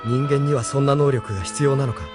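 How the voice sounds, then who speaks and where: A man's voice: mid-range voice, steady tone, a little fast, music in the background, lightly acted. A man playing a brooding, self-doubting young resistance leader in a Japanese audio drama, earnest and introspective.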